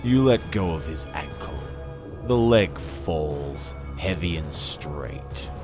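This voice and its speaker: A man reading a dramatic story as scripted narration, with a theatrical, savouring delivery and weighty pauses. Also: a bass voice, animated. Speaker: audiobook-style reader. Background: music.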